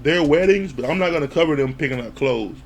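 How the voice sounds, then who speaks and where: A man with a slightly higher voice gives a loose, animated spoken recap of a reality show. He talks in an offhand way, swears and laughs, and acts out what the people on the show said. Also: quick pace, a little hiss or hum. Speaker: reality TV reviewer. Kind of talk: casual recap commentary.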